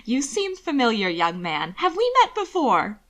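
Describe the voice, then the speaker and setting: A woman's voice: a little high, wildly swooping pitch, moderate pace, a bit bright, voice pushed up. A woman voicing an effusive, self-important older socialite in a story, simpering with a singsong rise and fall. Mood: pleasantly pressing. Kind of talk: audiobook character acting.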